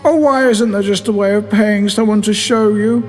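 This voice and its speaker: Man putting on a high, whining young office slob. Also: steady tone, moderate pace, natural voice. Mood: tearful whining. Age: 20s. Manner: whining, plaintive crying. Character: whiny, self-pitying overweight young office worker. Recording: long reverb, music behind.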